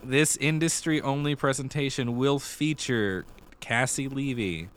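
A man's voice: mid-range voice, measured pace, fairly bright tone. A man co-hosting a theater podcast in a casual, conversational and explanatory way. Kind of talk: casual chat about musical theater news.

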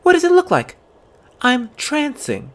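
A man voicing a stubborn, sharp-tongued teenage girl who snaps back indignantly. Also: huge pitch swings, very slow, exaggerated voice. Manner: shrill, indignant, snapping back.

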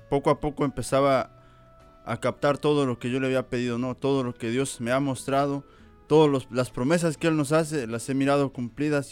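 A man's voice: medium pitch, smooth. A man from Veracruz, Mexico, giving an earnest, preacherly missionary testimony. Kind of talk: fervent testimony.

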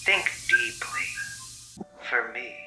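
Echoing sinister spirit, loud music behind, slow falsely soothing coaxing, wildly swooping pitch, audio drama villain. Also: huge pitch swings, full character voice. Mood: coaxing, menacing. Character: malevolent supernatural entity, coaxing, menacing, hunting a hidden queen. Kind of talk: found-footage audio drama acting.

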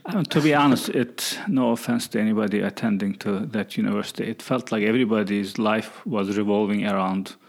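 A man's voice: on the low side, a little fast, vocal fry, hardly any pauses. A middle-aged man from eastern Turkey with a Turkish accent, answering as a professor being interviewed in a conversational way. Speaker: guest, college professor.

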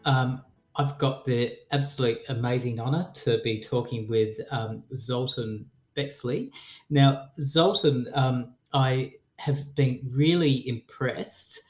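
A man's voice: medium pitch, steady tone, slow, faint music behind. A man hosting a podcast interview, friendly and joking with his guests. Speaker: podcast host. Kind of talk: casual interview. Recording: echoey room.

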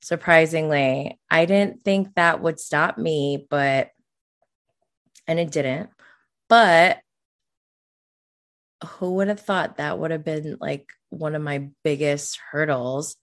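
A woman in her late thirties with a low voice and a slow pace. She talks like a casual podcast host, candid and self-deprecating, in informal everyday phrasing. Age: late 30s.

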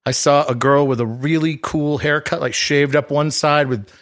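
A man in his forties from the Boston area, a radio DJ, telling stories in a dry, self-deprecating way with halting restarts. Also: on the high side, fairly even intonation, fairly bright tone. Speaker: radio DJ guest. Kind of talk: casual interview chat.

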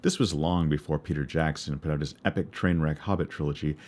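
Low-voiced man, wry narration. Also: steady tone, a little fast, darkish tone. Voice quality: baritone voice. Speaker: podcast narrator and musician. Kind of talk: scripted personal narration.

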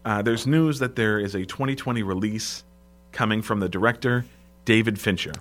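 A man with a booming, slightly froggy voice, co-hosting a casual podcast and eagerly sharing movie news. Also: moderate pace, fairly bright tone. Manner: chatty, enthusiastic about film news. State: a little froggy. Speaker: podcast co-host and editor.

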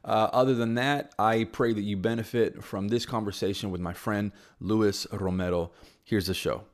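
A man hosting a podcast, talking in an informal, conversational way with relaxed slang. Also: fairly low pitch, moderate pace. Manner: informal, peppers speech with "bro". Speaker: podcast host. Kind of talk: casual, conversational podcast talk.

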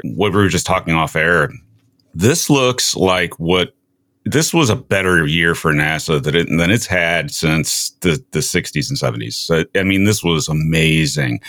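A man hosting a conversational interview about space, speaking in a measured, halting way. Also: low voice, a bit bright, dry recording. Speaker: interview show host.

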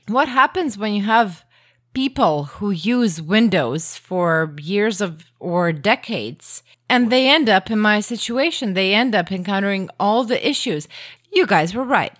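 A woman whose first language is Croatian talks casually as a podcast guest. She is earnest and a bit self-deprecating, at a middle pitch. The sound is close and dry, with no room. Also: expressive, unhurried, no room sound.

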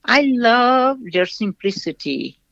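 A woman from Brazil with a Brazilian accent, a nun answering interview questions with stories, in a halting way that repeats phrases. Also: a little high, very animated, gravelly, a bit bright, dry recording. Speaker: nun, shrine representative. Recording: dull lo-fi sound, dry.

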